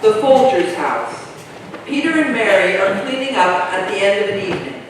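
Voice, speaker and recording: A woman's voice: medium pitch, expressive, moderate pace, background noise, close to an everyday voice. A woman performing as narrator, reading stage directions in a scripted present tense at a staged play reading. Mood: neutral. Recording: very echoey hall, distant mic.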